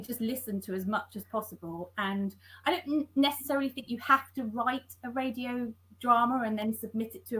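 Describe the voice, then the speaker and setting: Woman on a video call panel, earnest, keeps repeating words, halting. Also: mid-range voice, a bit bright, poor audio. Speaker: scriptwriter on a panel. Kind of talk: casual panel discussion.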